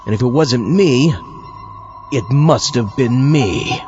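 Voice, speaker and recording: A man's voice: mid-range voice, takes it slowly, a little mellow, close to an everyday voice. A man voicing a petulant, indignant zombie astronaut who bickers with his own double in a comic radio skit. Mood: puzzled, grumbling. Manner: exclamatory, swooping, indignant. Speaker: show host. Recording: dull lo-fi with music behind.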